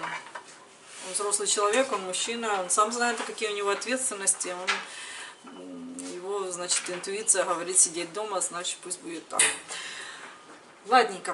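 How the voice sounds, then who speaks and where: Woman in her 30s just chatting to her camera at home, kinda far from the mic, noisy room. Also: medium pitch. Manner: conversational, retells dialogues in everyday speech. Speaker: vlogger, mother. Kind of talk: casual chat to camera. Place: home kitchen, later another room indoors. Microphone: camera a little distance away.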